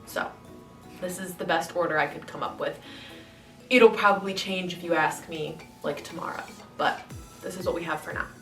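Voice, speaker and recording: A woman's voice: lowish voice, moderate pace, a bit bright, distant mic. A young woman from the Midwest chatting enthusiastically to her camera, sounding nasal and congested from a winter cold. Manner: chatty, enthusiastic, rambling with fillers. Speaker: model horse collector and channel host. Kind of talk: casual vlog talk to camera. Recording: echoey room, voice a bit off-mic, faint music.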